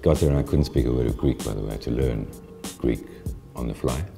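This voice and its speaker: Deep-voiced man, interview answers, animated and restarting, faint music under him. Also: fast talker, creaky. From South Africa. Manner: animated storytelling with frequent restarts. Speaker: interviewee, honoree.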